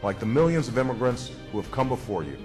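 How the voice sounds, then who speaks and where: A man's voice: mid-range voice, very animated, over music. Solemn man giving a formal address. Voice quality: measured, solemn. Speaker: official addressing new citizens.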